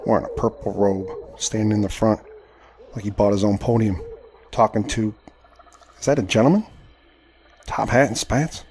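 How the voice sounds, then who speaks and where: A man's voice: on the low side, lightly acted. An actor playing a proud, indignant Southern crossbowman of the 1870s in an audio drama, with formal, theatrical speech. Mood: tense muttering. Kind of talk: audio drama with first-person narration.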